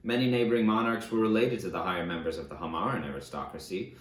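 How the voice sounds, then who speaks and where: Male reader as a defiant conqueror-king, low voice, declamatory, self-justifying storybook memoir, echoey room. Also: fast, fairly bright tone. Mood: proud, justifying. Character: proud, defiant conqueror ruler recounting his rise. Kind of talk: dramatic first-person audiobook narration. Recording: roomy, echoey sound.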